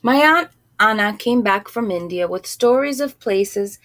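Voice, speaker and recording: A woman reading a picture book aloud in an expressive, animated way, with casual asides and drawn-out phrasing. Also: mid-range voice. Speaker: storybook reader.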